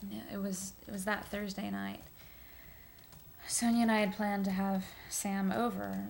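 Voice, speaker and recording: A woman's mid-pitched voice with lots of fry plays a candid friend in a naturalistic film drama. She testifies casually and a little hesitantly, with clear background noise. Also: flat delivery, unhurried, darkish tone. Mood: matter-of-fact. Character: candid woman giving testimony about her close friend.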